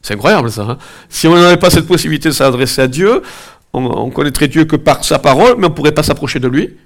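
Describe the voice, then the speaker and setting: A man's voice: a little high, animated, fast talker. Man with a head cold preaching. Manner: conversational, hesitant, many fillers and asides. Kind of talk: informal sermon with prayer. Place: church gathering, also streamed online.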